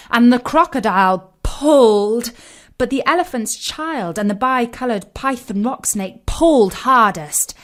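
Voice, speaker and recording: Woman reading a kids story, takes her time, expressive with emphatic phrasing. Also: medium pitch. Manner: expressive, deliberate, emphatic repetition. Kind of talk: scripted children's story narration.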